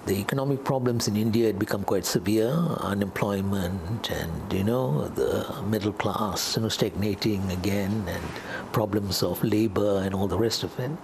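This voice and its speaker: An older man giving measured, halting explanations as an interviewed political analyst. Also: lowish voice, gravelly, background noise. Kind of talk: unscripted interview commentary.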